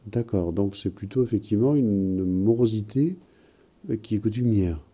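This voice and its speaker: Host guy with a super dark mellow voice, calm and probing, kinda low. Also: moderate pace, soft background music. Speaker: host interpreting dreams. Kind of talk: counselling talk.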